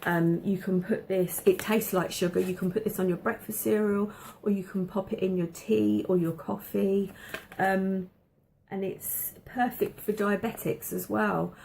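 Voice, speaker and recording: A woman chatting casually to camera at home, with a slight cough and a dry throat, pausing often with ums and repeating words for emphasis. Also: lowish voice, creaky, darkish tone, slight background noise. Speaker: vlogger showing a food haul.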